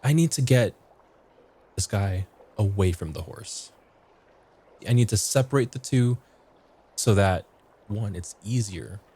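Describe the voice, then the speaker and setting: A man talking casually and a bit haltingly as a guest player in a tabletop roleplaying game. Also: lowish voice, animated, warm tone, slightly rough.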